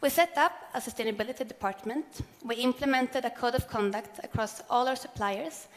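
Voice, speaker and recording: A woman, giving a measured, earnest prepared keynote speech on stage. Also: fairly high pitch, a little hiss or hum. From Sweden. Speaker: corporate sustainability speaker. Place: large event hall on stage. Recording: long hall reverb.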